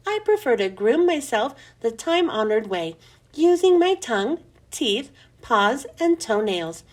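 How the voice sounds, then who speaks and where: A woman narrates a children's story in a high voice. Her pitch rises and falls widely, and she leans on and draws out key words for young listeners. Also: sing-song, a little slow. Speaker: children's book reader. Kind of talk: expressive scripted storybook narration.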